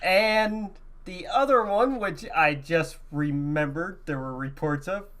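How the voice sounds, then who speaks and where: A man's voice: fairly high pitch, slow, fairly bright tone. A man co-hosting a podcast, talking casually as gamers do. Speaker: podcast co-host. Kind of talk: casual gaming podcast chat.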